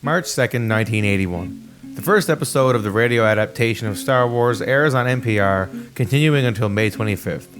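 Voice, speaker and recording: A man hosting and narrating a scripted video essay, with music playing behind his voice. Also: mid-range voice.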